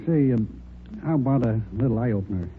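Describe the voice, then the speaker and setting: A man's voice: medium pitch, lively intonation, fairly quick, natural voice. An American man playing a genial, talkative small-town bar owner with a folksy, chatty manner in an old radio drama. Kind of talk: 1940s-50s radio drama acting. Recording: tinny, noisy old radio recording.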